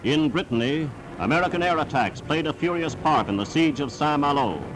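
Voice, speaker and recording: A man's voice: on the high side, lively intonation, fairly quick, poor audio. 1940s newsreel man, emphatic, over music. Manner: declamatory, emphatic announcer delivery. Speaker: newsreel narrator. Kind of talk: 1940s wartime newsreel narration, scripted.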